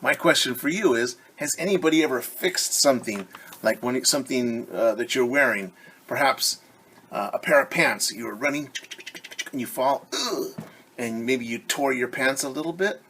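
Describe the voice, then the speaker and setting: A male teacher reading a picture book aloud to children, pausing to explain words and ask questions. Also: medium pitch, a little hiss or hum. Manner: warm, questioning teacher talk to kids.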